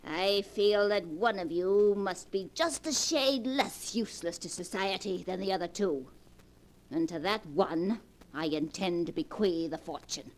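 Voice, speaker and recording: A woman's voice: mid-range voice, a little slow, lightly acted. An actress playing a wealthy, scornful old grandmother in a 1940s radio drama, dry and cutting.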